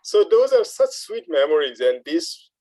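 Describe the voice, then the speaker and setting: A man reminiscing fondly and haltingly in a casual group discussion on a video call. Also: a little high, big pitch swings, mellow, dry recording. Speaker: radio project participant.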